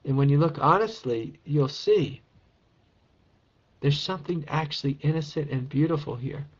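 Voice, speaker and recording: A man with a raspy voice, a meditation teacher answering a question in a calm, encouraging spiritual talk. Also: fairly high pitch, normal speed, lo-fi sound. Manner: gentle, calm and encouraging, with soft laughs.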